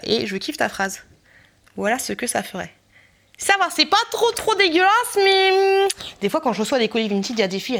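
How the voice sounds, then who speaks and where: A woman in her thirties from Brittany, France, chatting casually in a vlog, lively and slangy with frequent laughter. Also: high-pitched. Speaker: vlogger host. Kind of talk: casual chat.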